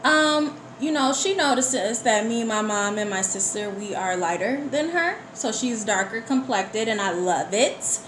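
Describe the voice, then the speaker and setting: A woman's voice: fairly high pitch, unhurried, hardly any pauses, fairly bright tone. Candid woman guest in an echoey room. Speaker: guest poet. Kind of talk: casual interview, personal storytelling. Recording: echoey room with background noise.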